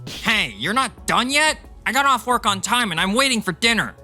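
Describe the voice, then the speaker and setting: Arrogant banker husband for manga dub, male actor: high, very forceful tone, condescending, music underneath. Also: animated, moderate pace, exaggerated voice. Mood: contemptuous. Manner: condescending, irritable. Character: arrogant, cold-hearted banker husband, sneering and selfish. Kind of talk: dubbed manga drama acting.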